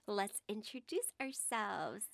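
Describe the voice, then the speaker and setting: A woman's voice: mid-range voice, expressive, slow, vocal fry, dry recording. A young woman from Hawaii and Texas co-hosting a podcast in casual chat, chatty with frequent laughs and fillers. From born in Hawaii, raised in Texas. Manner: chatty, laughs often, many fillers and backchannels. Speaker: podcast co-host, radio station worker.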